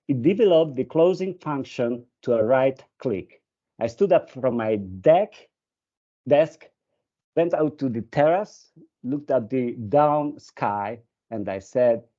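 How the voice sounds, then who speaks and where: A man whose first language is Hungarian presents a webinar over a video call. His voice is mellow and dark, and he hesitates often, restarting phrases and filling gaps. The audio is dull and muffled. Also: medium pitch, expressive, slow pace. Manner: hesitant, many fillers and restarts. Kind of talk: presentation with an anecdote.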